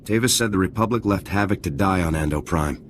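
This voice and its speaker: A man's voice: low-pitched, a little fast, a little hiss or hum, lightly acted. An actor playing a dutiful male lieutenant who gives firm, clipped replies. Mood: steady. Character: dutiful male soldier, steady and confident.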